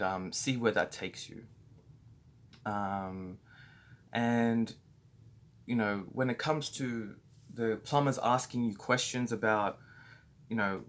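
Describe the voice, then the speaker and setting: Australian man with a low voice. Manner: rambling, many fillers and restarts. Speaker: business owner training staff. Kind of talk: casual spoken training talk.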